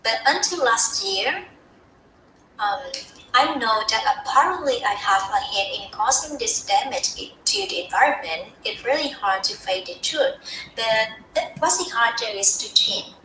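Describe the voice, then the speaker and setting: A young woman from Vietnam speaks English with a Vietnamese accent. Her voice is bright and crisp, and she talks in an earnest, heartfelt way, sometimes restarting a phrase. She sounds like she is in an echoey room, with a faint hiss behind her. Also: fairly high pitch, lively intonation, a little slow. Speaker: student speaker. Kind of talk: practice talk in a TED style.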